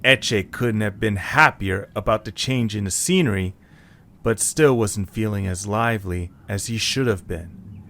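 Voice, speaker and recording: A man's voice: on the low side, a little slow, bright, forceful tone, a little hiss or hum. A man reading scripted story narration as the narrator of an audio drama.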